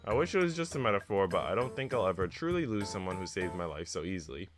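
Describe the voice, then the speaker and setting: A man with a fairly low voice, streaming a story game. He reads and talks in a casual way. Some music plays behind him. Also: big pitch swings, fast. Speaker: streamer reading a visual novel aloud. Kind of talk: casual read-aloud and game chat.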